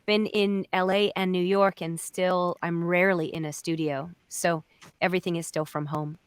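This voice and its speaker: A woman's voice: on the low side, level tone, normal speed, clear, resonant tone, no room sound. A woman chatting casually as a voice actor on a panel. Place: home, on a video call.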